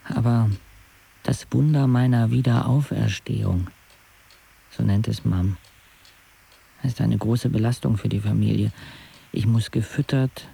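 A man's voice: lowish voice, steady tone, unhurried, creaky, pauses often, warm tone, husky, exaggerated voice. An actor playing a sardonic paralysed teenage boy, narrating his life intimately in a dry, laconic way in a radio drama. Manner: dry, laconic, clipped phrases.

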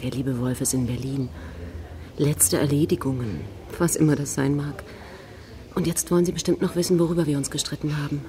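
An actress playing a forthright, flirtatious and discontented middle-aged academic's wife in a radio drama, wry and teasing. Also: female voice, deep voice, fairly even intonation, fairly quick, warm tone, slight background noise, lightly acted. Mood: bitter, ironic. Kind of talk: naturalistic radio drama acting.

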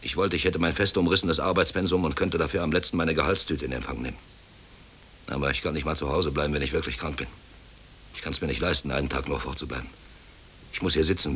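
An actor playing a brusque, overworked middle-aged company director in a German radio play, officious and self-pitying. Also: male voice, rumbling low, fast talker, sharp tone. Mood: bitter, brooding. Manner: brusque, officious, brooding when alone. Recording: hissy old radio play recording.

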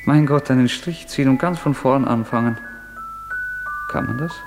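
An actor playing a brooding, feverish young murderer in a German radio play, halting and introspective, drifting into whispers. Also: male voice, mid-range voice, over music, close to an everyday voice. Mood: dreamy, relieved.

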